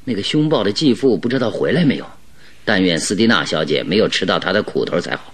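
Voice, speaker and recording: Deep-voiced, grave radio detective. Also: male voice, big pitch swings, quick pace, slightly rough, heavily acted. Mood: concerned. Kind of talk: radio drama acting.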